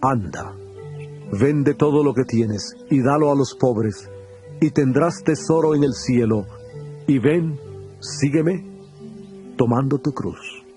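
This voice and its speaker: An actor playing Jesus as a calm, earnest teacher, speaking in a solemn, measured tone in a dramatized scripture recording. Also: male voice, medium pitch, soft background music, natural voice.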